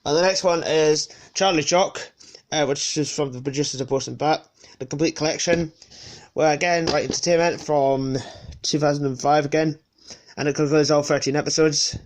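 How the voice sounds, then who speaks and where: A man's voice: a little high, steady tone, normal speed, a little hiss or hum. A man with a sniffly cold casually presenting his collection unscripted, hesitating and correcting himself as he goes. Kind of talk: casual unscripted show-and-tell.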